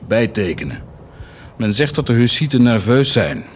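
An actor playing a cruel, volatile army commander in a theatrical radio drama, sardonic and commanding in manner. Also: male voice, mid-range voice, big pitch swings, normal speed, a little hiss or hum, close to an everyday voice. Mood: mocking, sardonic.

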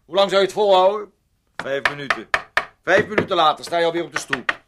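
A man's voice: a little high, expressive, fast talker, very noisy, close to an everyday voice. An actor in a radio play playing a jealous, possessive man who is scornful, clinging and taunting. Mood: scornful, accusing. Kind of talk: Dutch radio play acting.

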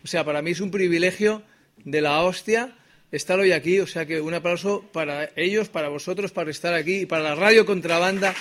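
A man's voice: high voice, fairly quick, a bit bright. A singer-songwriter man, chatting between songs at a live concert, joking and swearing freely. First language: Spanish. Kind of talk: live concert with stage banter. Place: live venue with audience. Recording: live stage sound with guitar. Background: acoustic guitar.

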